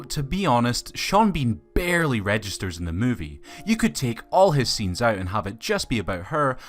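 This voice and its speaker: Man narrating a film review, lively pitch. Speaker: video reviewer.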